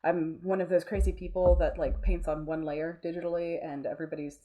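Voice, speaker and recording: A woman's voice: lowish voice, flat delivery, brisk, warm tone, highs cut off. A woman talking casually as an interview guest, thoughtful and informal, often restarting her sentences. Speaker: interview guest, artist and voice actor.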